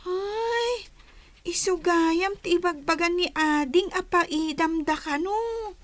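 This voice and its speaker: A woman's voice: very high-pitched, slow pace. A woman playing a feisty, whiny little schoolgirl in an Ilocano radio drama, with an Ilocano accent and a childlike manner. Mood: defiant.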